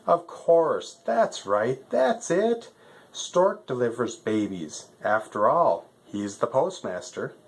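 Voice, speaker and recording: Man voicing an eager, excitable storybook lion. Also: mid-range voice, slow pace, pitched above the performer's own voice. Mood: excited.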